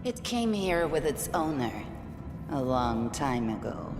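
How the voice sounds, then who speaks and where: An actress playing a stern, proud and fatalistic tribeswoman in a video game, solemn and measured. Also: sits low, big pitch swings, exaggerated voice. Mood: grave. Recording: echoey hall reverb. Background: background music.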